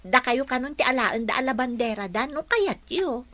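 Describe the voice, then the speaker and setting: Bright, high-voiced dutiful schoolgirl played by a woman, Ilocano accent. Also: expressive, moderate pace, dry recording. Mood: eager. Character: dutiful, helpful schoolgirl from a poor family. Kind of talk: Ilocano radio-style drama acting.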